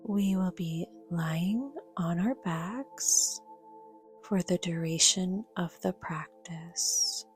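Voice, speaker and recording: A woman guiding a yoga nidra meditation in a soft, breathy, half-whispered voice, gentle and soothing, with measured pauses. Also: fairly low pitch. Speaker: guided meditation teacher.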